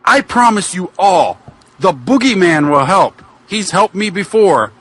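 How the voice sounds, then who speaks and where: A man playing an earnest male wrestler pleading for an accused friend, declaiming and halting over the script in a mock-dramatic reading. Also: high voice, sing-song, fairly bright tone, full character voice.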